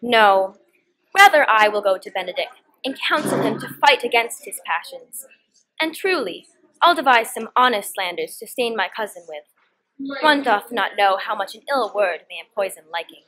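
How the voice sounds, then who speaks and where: A woman's voice: mid-range voice, animated, moderate pace, pushed and bright, low-quality recording. A teenage girl playing a scheming young noblewoman in a student performance of Shakespeare, with a declamatory delivery. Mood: scheming, brisk. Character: Hero, scheming young noblewoman feigning disdain for her cousin.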